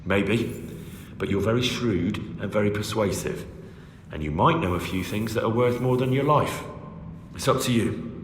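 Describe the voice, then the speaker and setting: An actor as a low-voiced medieval lord's man, echoey. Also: normal speed, close to an everyday voice. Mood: firm, persuasive. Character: young male medieval agent of a lord, firm, blunt. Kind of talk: video game cutscene acting. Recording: echoey hall reverb, noisy background.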